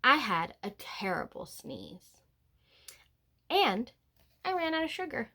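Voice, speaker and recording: Woman acting out sly storybook wolf, high-pitched, very lively swings, dramatic, mock-innocent, self-excusing. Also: slow, gravelly, full character voice.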